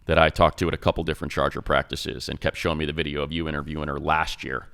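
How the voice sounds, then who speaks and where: A man co-hosting a sports talk show with a very low, gravelly voice. He breaks down plays with energy and jumps in with quick jokes, sounding like the play-by-play announcer he is. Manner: energetic, quick to jump in and joke. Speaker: radio play-by-play announcer, co-host.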